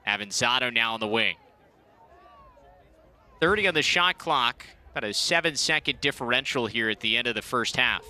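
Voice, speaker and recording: Bright-voiced man calling lacrosse. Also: medium pitch, expressive, some chatter behind. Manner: brisk play-by-play calls. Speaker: play-by-play commentator. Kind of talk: sports commentary.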